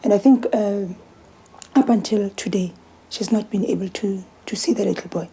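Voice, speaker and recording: A woman with a very husky, breathy voice and a South African accent gives spoken testimony. Her tone is soft and mellow at a middle pitch, and she halts and repeats words as she goes. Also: normal speed. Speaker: interviewee.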